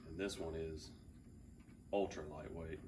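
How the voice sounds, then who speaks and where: A man in his thirties giving a relaxed, enthusiastic fishing gear review, thinking aloud with fillers in a big open garage. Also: extremely low pitch, a little slow. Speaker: fishing channel host. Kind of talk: casual product review. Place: large open garage with a boat. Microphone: camera several feet away. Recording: distant mic, faint ambient hiss.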